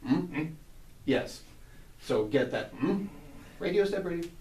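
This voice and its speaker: Animated, higher-voiced man directing actors. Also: takes it slowly. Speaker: audio drama director. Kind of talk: informal directing of actors in session. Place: indoor audio drama recording session. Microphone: small video camera at a distance. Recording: roomy, distant small video camera sound, faint noise.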